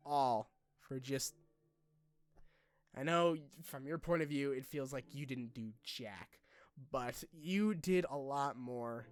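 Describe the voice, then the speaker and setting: A young man talking informally to his subscribers in a personal vlog, halting and rambling in a colloquial way. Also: medium pitch, dry recording. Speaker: online video creator.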